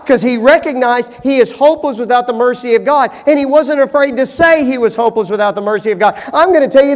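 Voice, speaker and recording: A middle-aged male pastor preaching a sermon, shifting from hushed, slow stretches to emphatic, rising appeals. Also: very high voice. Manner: dynamic, swings from hushed to emphatic. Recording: long hall reverb.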